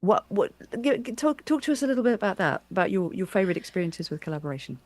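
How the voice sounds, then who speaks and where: A woman's voice: mid-range voice, sing-song, fast, darkish tone, dry recording. A woman chatting casually as an interviewer, thoughtful and anecdotal, often repeating words as she works out her phrasing. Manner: hesitant, repeats words while thinking.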